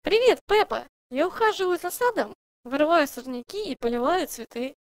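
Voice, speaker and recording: A woman voicing a kind, contented mummy pig in a children's cartoon, sweet and expressive. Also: high voice, normal speed, no echo, studio quality. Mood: kindly, content.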